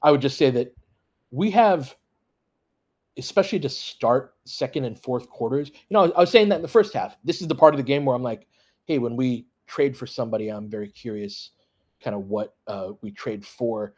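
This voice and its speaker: A man's voice: mid-range voice, moderate pace, a little mellow. A man hosting a fan livestream, giving off-the-cuff, conversational play-by-play of a basketball game and chatting with listeners. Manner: conversational, off-the-cuff, talking in short clipped bursts. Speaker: sports livestream host doing fan play-by-play. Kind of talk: casual running basketball commentary, chatting with listeners.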